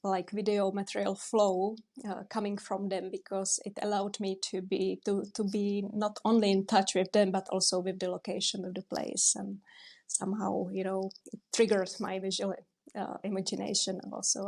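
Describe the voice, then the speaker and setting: A young woman talks about her work in an interview. She speaks slowly and carefully, often stopping to find the right word. Her pitch stays flat and even throughout. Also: gravelly. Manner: hesitant, searching for words. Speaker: documentary director. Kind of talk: interview answers.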